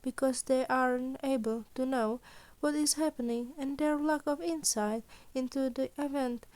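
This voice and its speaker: A woman voicing a calm, scheming teenage boy acting as principal, speaking confidently in a lecturing way. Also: high voice, normal speed, lightly acted.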